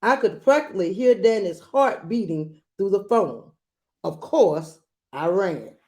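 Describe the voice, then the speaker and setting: A woman reading a children's picture book aloud, adding gentle explanatory asides for young listeners. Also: mid-range voice, expressive, a little slow. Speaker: read-aloud storyteller.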